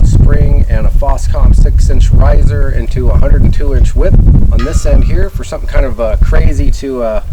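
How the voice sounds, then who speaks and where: A middle-aged man casually hosting a gear test vlog, talking in a halting, informal way. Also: medium pitch. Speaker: host of a radio gear review channel. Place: outdoors by a garage door, later a garage workshop. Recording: background ambient noise.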